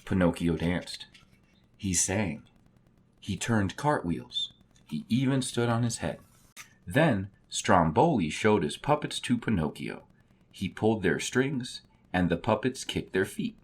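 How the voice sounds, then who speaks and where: Man, children's story narration, lowish voice, lively intonation, slightly raspy. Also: slow pace. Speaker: storybook reader.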